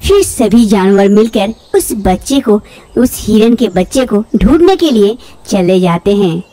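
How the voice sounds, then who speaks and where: A woman's voice: medium pitch, very animated, measured pace, dark tone, no room sound. A woman storyteller reading a scripted animal fable for children.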